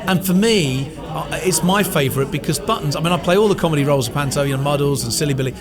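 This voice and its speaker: A man's voice: on the high side, fast, music in the background. A man who performs comedy, chatting enthusiastically and earnestly in a casual interview.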